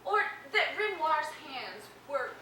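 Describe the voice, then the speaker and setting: An actress playing an earnest hospital counsellor who talks gently and professionally to a patient. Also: female voice, high voice, sing-song, takes it slowly, slight background noise, full character voice. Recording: roomy film sound from a distance.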